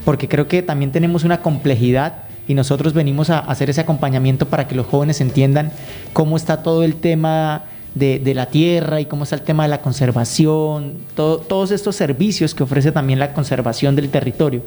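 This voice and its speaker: A man's voice: on the high side, steady tone, a little fast, mellow, faint music behind. A Colombian man giving earnest, conversational interview answers as a radio guest, searching for his words now and then. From Colombia, raised in Guaviare. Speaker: radio guest, youth program consultant.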